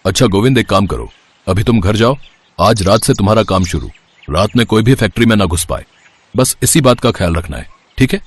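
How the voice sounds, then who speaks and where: A man voicing a wealthy, trusting factory owner, measured and reassuring, in a moral story. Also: on the low side, expressive, no room sound. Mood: kindly, reassuring. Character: kindly, trusting wealthy male factory owner, calm boss. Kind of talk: Hindi moral story voice acting.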